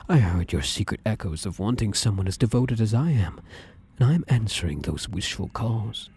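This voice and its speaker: A man playing a possessive, domineering living shadow in an intimate ASMR roleplay, coaxing and commanding in a breathy, husky voice. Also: fairly low pitch, lively intonation, moderate pace, a little mellow. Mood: yearning, domineering. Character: possessive, domineering living shadow, male, obsessively devoted lover.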